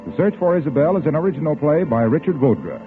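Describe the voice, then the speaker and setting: Tinny, animated American radio announcer, male. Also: fairly high pitch, fast. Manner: polished, emphatic sales delivery. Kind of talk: scripted 1940s radio announcing. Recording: tinny vintage radio broadcast, music behind.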